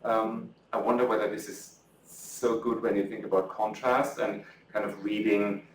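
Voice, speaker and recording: A man's voice: on the low side, fairly even intonation, moderate pace, recorded from a distance, a little hiss or hum. Middle-aged German-accented man, echoey lecture room. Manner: hesitant, many ums and restarts, dry self-mocking humour. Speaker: author presenting his book. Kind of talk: lecture talk, then interview answers. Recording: roomy echo, off-mic.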